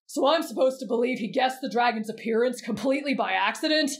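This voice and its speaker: A woman's voice: fairly high pitch, expressive, fast. A woman voicing a clingy, inquisitive teenage boy in an ASMR roleplay, eager for affection. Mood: skeptical, curious. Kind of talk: roleplay ASMR character acting.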